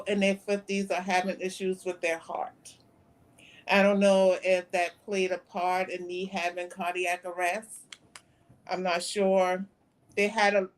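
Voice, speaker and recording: A woman in her fifties, a guest on a talk show, recounting events in a plain, soft-spoken way. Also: mid-range voice. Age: 50s. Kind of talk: interview conversation.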